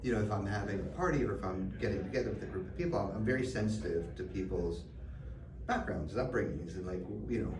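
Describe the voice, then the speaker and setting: A middle-aged man, answering interview questions thoughtfully, often pausing with fillers and restarts. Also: deep voice. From Canada. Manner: reflective, many fillers and restarts. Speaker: guest. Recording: roomy, distant mic, background noise.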